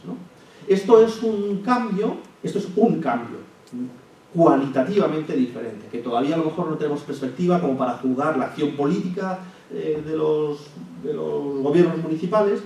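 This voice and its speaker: A man giving a public lecture, measured and thinking aloud with frequent restarts and hesitations. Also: a little high, darkish tone. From Spain. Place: lecture hall. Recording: echoey hall, from far off, faint hiss.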